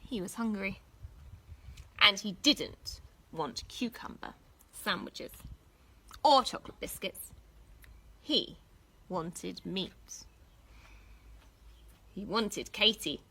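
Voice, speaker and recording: A woman reading a storybook aloud for young children in an expressive, deliberate teacherly way. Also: medium pitch, husky. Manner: animated, deliberate, pausing to ask questions.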